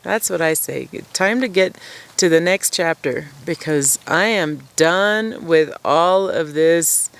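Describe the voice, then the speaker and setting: A woman with a low voice, chatting casually to camera outdoors at her forest camp through a camera-mounted mic, rambling and self-deprecating. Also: clean tone. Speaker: vlogger living at a wilderness camp.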